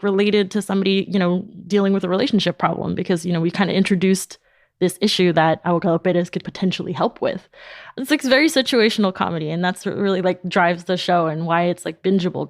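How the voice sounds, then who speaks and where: A woman's voice: fairly low pitch, steady tone, fast talker, no echo, studio quality. A woman speaking casually as an interview guest, explaining things informally and sprinkling in "like". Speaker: podcast company founder, guest.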